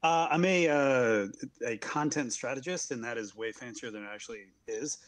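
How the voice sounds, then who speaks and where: A man's voice: medium pitch, moderate pace. A man chatting casually and jokingly as a guest player on a livestream. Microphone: video call.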